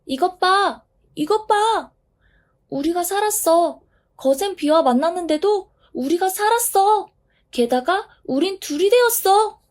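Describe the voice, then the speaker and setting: A woman's voice: extremely high pitch, lively intonation, unhurried, voice pushed up. A woman voicing tiny childlike dust specks in a storybook reading, squealing with delight. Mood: excited. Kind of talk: storybook voice acting.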